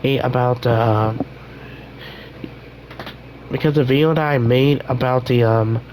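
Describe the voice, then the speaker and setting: A young man hosting a casual gaming vlog, rambling with many restarts while his voice recovers from being hoarse. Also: medium pitch, steady tone, measured pace, darkish tone, slight background noise, rough recording. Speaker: gaming channel host.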